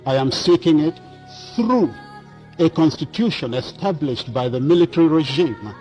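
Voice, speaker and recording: A man giving a formal political statement, measured and deliberate, leaning on single words. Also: a little high, lively intonation, darkish tone, faint music behind.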